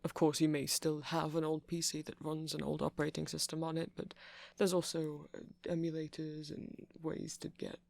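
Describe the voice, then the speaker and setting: A man playing a nerdy vampire in an ASMR roleplay, rambling through explanations in a hesitant, meandering way with a creaky voice. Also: a little high, completely flat delivery, normal speed, few pauses, darkish tone, dry recording. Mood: earnest, nerdy. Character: nerdy male vampire, rambling tech enthusiast, gentle boyfriend. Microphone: close mic, dry.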